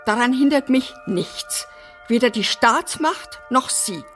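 An actress playing a brash, eccentric apartment resident in a radio drama, theatrical, flippant and defiant. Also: female voice, fairly high pitch, expressive, takes it slowly, a bit bright, faint music behind. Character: brash, eccentric, bossy female apartment resident opening others' letters. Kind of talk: German radio drama acting.

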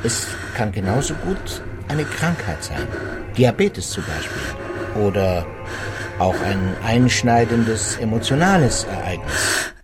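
Lecturing male psychiatrist, lively intonation. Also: on the low side, music in the background. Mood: explanatory, composed. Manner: measured, lecturing, clinical. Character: male psychiatrist, knowledgeable, matter-of-fact, explaining patiently. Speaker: actor in a radio drama. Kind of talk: radio drama dialogue.

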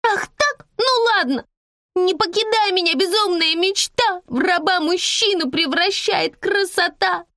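A bratty eight-year-old girl, pitched far up and loud. She taunts in a defiant, whiny sing-song that swings widely, in a dry storybook recording. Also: expressive, measured pace, dry recording, heavily acted. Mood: indignant.

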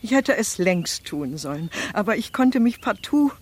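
A woman's voice: mid-range voice, very animated, moderate pace, darkish tone, breathy edge. An actress playing a devoted, plain-spoken servant woman in a German radio drama, homely, fretful and affectionate. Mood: anxious, tender. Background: music.

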